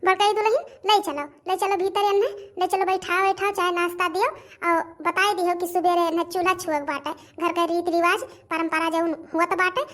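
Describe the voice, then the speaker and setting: An extremely high-pitched village housewife from a cartoon family comedy, acted by a woman. She has a rustic Awadhi accent and talks in a chatty, repetitive, gossipy way, like an in-law with opinions on everyone. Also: little pitch movement, fairly quick, a bit bright. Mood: bossy, welcoming. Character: village women of a household, gossiping, admiring and grumbling in-laws.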